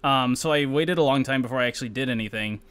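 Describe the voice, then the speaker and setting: A man's voice: medium pitch, fast talker, a bit bright, clean tone. A man co-hosting a podcast in casual, comedic conversation with friends. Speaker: podcast co-host.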